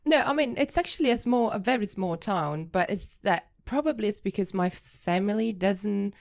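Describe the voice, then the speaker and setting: A woman's voice: mid-range voice, lively intonation, normal speed, creaky, a little mellow. A woman in her late twenties with an Italian accent, a native Italian speaker, chatting casually as an interview guest and often rephrasing as she goes. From Italy. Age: late 20s.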